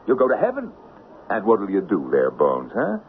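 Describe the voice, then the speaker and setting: An actor playing the Devil as a mocking, smooth-talking gentleman schemer in a 1970s radio drama. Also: lowish voice, huge pitch swings, moderate pace. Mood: taunting. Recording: muffled, hissy old radio broadcast recording.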